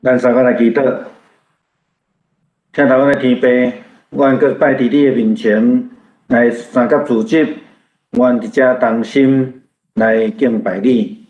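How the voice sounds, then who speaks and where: A man's voice: mid-range voice, fairly even intonation, slow, a little mellow. A man with a Taiwanese accent, a pastor, leading an earnest spoken prayer for a congregation. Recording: roomy, echoing sound.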